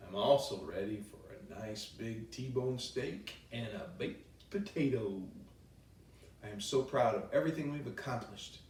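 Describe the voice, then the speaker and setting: A man reading a children's bedtime story aloud in a playful, expressive way with chatty asides. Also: medium pitch, a little slow, slight background noise. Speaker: children's author reading his own book. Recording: roomy echo, distant microphone.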